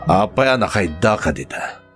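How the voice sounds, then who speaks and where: A menacing captor in an Ilocano drama. His medium-pitched voice swings sharply between mockery and command, over faint music. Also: big pitch swings, normal speed, fairly bright tone. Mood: mocking. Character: menacing, mocking male captor demanding repayment. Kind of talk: Ilocano radio drama acting.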